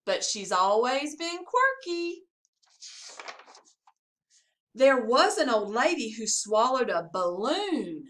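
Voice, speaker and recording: High, animated woman reading a children's story. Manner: sing-song, expressive storytelling for young children. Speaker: assistant principal reading to pupils. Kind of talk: children's picture-book read-aloud.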